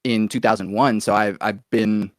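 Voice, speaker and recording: A man's voice: lowish voice, steady tone, normal speed, no echo. Casual American man, very dry close sound. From Oklahoma, United States, born in Venezuela. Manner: rambling, thinking out loud. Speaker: podcast guest, musician and producer. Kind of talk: casual conversational interview, telling own story.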